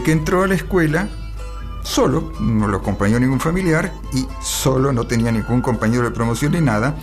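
A man with an Argentine accent talking casually as a radio host, in a chatty, halting way full of asides. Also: medium pitch. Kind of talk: casual radio monologue, introducing songs.

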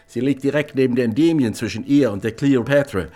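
An actor playing a loud, gruff, middle-aged naval father who curses and blusters heartily in a radio drama. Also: medium pitch, fairly quick, natural voice. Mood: hearty blustering. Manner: blustering, hearty, swears often. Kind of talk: literary radio drama acting.

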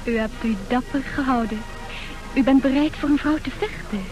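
Actress as high-voiced headstrong young heiress. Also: female voice, moderate pace, lightly acted, rough recording. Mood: insistent, indignant. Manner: insistent, theatrical, imperious. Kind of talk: old Dutch radio comedy acting. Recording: old radio play, music behind.

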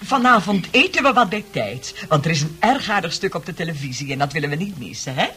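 A woman's voice: on the low side, expressive, fast talker, fairly bright tone. An actress playing a fussy, apologetic female nurse in a radio drama, hesitant and polite as she coaxes her charges along. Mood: coaxing. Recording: noisy old radio play recording.

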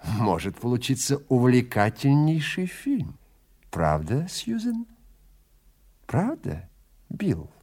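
A man playing a suave, menacing film director who speaks in an unhurried, theatrical, insinuating way with pauses between phrases. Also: medium pitch, sing-song, a little mellow, breathy edge, heavily acted. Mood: smooth, veiled menace. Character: suave, menacing male film director, calmly toying with his prey.

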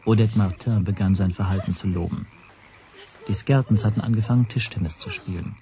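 An actor playing a reflective first-person narrator in a radio play, measured and introspective. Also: male voice, fairly low pitch, mellow, close to an everyday voice. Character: reflective male narrator telling his own story.

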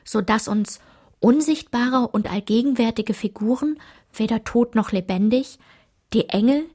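A woman's voice: fairly high pitch, dark tone. A woman narrating a literary radio play, reading aloud in a composed way.

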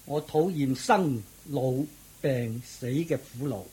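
A man acting a solemn, serene old wandering monk in a Cantonese radio drama, with a husky, breathy voice and measured delivery. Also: mid-range voice, a little mellow, poor audio.

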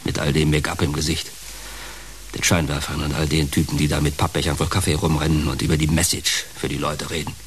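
A bitter heartbroken washed-up showman, low voice, radio drama, halting and heavy with feeling, hissy recording. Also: little pitch movement. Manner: halting, dramatic, emotionally laden delivery. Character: bitter, heartbroken fallen entertainer, male, wounded and brooding. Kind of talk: German radio play dialogue. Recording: clear background hiss.

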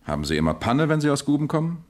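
An actor playing a composed, methodical police captain who questions curtly and officially in a German radio crime drama. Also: male voice, on the high side, big pitch swings, very fast. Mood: probing. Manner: probing, curt, official questioning. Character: methodical police captain investigating a fatal accident, probing, composed.

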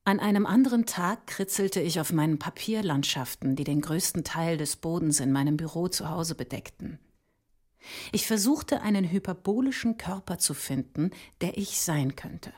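A woman playing a reflective, candid mathematician and mother in a measured, introspective literary monologue. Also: fairly low pitch, lively intonation, darkish tone. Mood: pensive. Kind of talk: literary first-person monologue over ambience.

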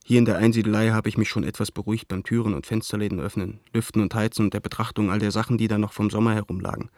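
An actor playing an embittered, indignant painter, venting a letter aloud in an agitated, sardonic and articulate way for a radio play. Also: male voice, lowish voice, steady tone, racing, a little mellow, no echo, clean studio sound, lightly acted.

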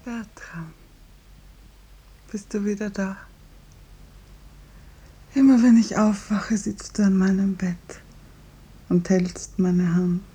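An actress in a 1950s radio drama playing a suffering, bravely resigned dying patient, with a breathy, often half-whispered voice. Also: female voice, mid-range voice, fairly even intonation, takes it slowly, long pauses, warm tone. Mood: weak, tender, resigned.

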